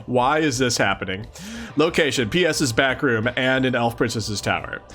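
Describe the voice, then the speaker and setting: A man's voice: medium pitch, moderate pace, a bit bright. A man doing a casual live read-along of a comic, reading expressively and adding mock-incredulous asides. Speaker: streamer reading a comic aloud.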